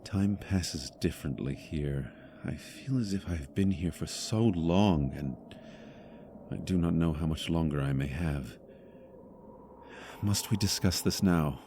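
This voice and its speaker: An actor playing a solemn, courteous trapped spirit in a fantasy audio drama, speaking in a measured, formal way. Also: male voice, low-pitched, warm tone, slight background noise. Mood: somber.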